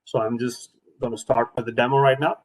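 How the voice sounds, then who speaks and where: A man's voice: mid-range voice, level tone, a little fast, rough recording. A man presenting an informal product demonstration as a trainer, speaking hesitantly and often repeating himself.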